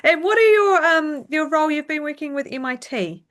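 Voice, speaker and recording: A woman hosting an interview, asking gentle, hesitant questions. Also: high voice, lively intonation, normal speed. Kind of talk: conversational interview.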